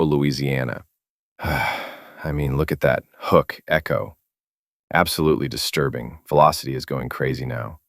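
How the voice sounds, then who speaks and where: A man's voice: extremely low pitch, a bit bright, no room sound, studio quality. A man playing a shaken stand-in TV weatherman giving a grave, dramatic live storm bulletin. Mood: shaken, despairing.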